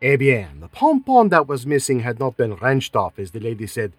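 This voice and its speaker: A man's voice: mid-range voice, sing-song, normal speed, husky, no room sound, soft background music. A man voicing a fussy, dapper middle-aged male detective who speaks precisely and politely with French touches. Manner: precise, polite, faintly French-flavoured phrasing.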